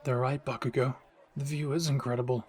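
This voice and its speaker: A man playing a calm, polite, literal-minded young anime pro hero, soft-spoken and deadpan, in an audio roleplay. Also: mid-range voice, fairly quick, a faint crowd, natural voice. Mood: calm, appreciative.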